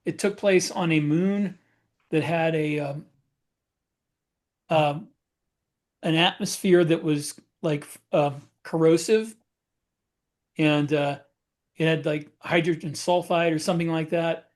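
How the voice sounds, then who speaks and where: A man with a slightly high voice chats casually as a podcast co-host. He works through his stories haltingly, backing up to correct details. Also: fairly even intonation. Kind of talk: casual panel chat.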